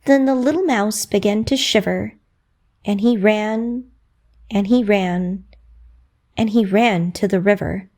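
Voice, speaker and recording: A woman narrating a children's bedtime story in a rhythmic, expressive way with dramatic emphasis. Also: medium pitch, slow pace, long pauses.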